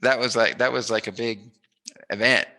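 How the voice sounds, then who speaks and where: A man's voice: medium pitch, fairly even intonation, moderate pace, sharp tone. A middle-aged man chatting casually as an interviewer, telling memories in a jokey, emphatic way. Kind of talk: casual reminiscing interview chat.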